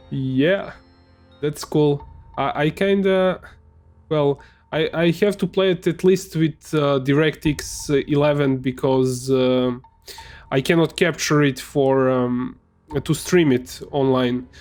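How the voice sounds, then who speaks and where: A man's voice: medium pitch, a little mellow. A man whose first language is Serbian, casually commenting on a game he is playing, in a hesitant way with many fillers and restarts. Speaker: gamer streamer. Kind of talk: casual let's play commentary.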